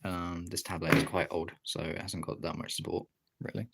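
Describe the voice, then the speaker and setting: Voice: deep voice, flat delivery, moderate pace, creaky, very noisy. A streamer chatting casually while demonstrating drawing, talking in an informal, sweary way. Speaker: art streamer.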